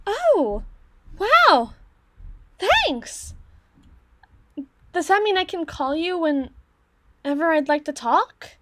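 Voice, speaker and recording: A woman playing an awkward, bookish tsundere young woman in an ASMR roleplay, speaking haltingly and wordily to the listener. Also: very high voice, big pitch swings, sharp tone, slight background noise, heavily acted. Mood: flustered, stammering. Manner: stammering, halting, wordy and bookish in phrasing.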